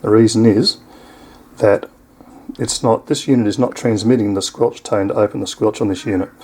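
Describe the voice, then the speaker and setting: A man's voice: fairly low pitch, steady tone, moderate pace, dark tone, slight background noise. A man with an Australian accent giving a casual, unscripted product demonstration in an easygoing, explanatory way. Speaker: product reviewer.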